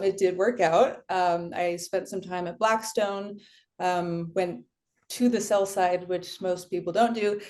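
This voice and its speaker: A woman's voice: on the low side, a little mellow. A woman from California introducing herself on a panel in a friendly, chatty way with many fillers, on a video call. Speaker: panelist, finance professional. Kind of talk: casual self-introduction.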